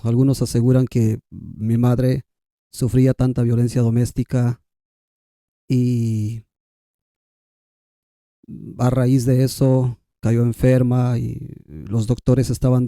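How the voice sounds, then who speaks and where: A Guatemalan man with K'iche' as his mother tongue tells his own story in a conversational way. His voice is very soft-edged and dark, at an average male pitch. The recording is dry and close. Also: vocal fry, no echo. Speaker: podcast guest.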